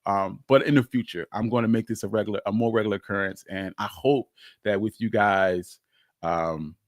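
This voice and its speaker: A man's voice: on the low side, steady tone, normal speed, dry recording. Casual man rambling on a livestream, dry sound. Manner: rambling, repeats phrases; informal, chuckles often, swears mildly. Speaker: livestream host. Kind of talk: casual unscripted livestream chat.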